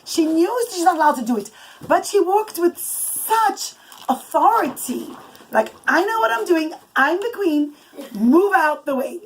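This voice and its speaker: A woman's voice: very high voice, expressive, unhurried. A woman telling a bedtime story to children as a mother, improvising in a dramatic, emphatic way with asides to the listeners. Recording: noisy home recording with clicks. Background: young children chattering.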